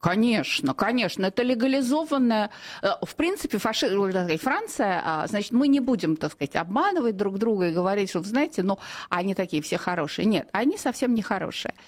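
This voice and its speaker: A middle-aged woman from Russia speaks as a radio guest. She answers in an emphatic, heated way and stops often for fillers and restarts. Her voice sits at an ordinary pitch for a woman. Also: big pitch swings, brisk. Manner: emphatic, heated, many fillers and self-corrections. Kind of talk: opinionated radio interview answers.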